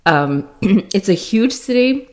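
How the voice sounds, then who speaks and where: A woman's voice: medium pitch, very animated, slow pace. A woman in her thirties with an American accent, telling her life story in casual, chatty conversation and laughing often at herself. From born in Sri Lanka, raised in the Philippines and Arkansas. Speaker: guest co-host.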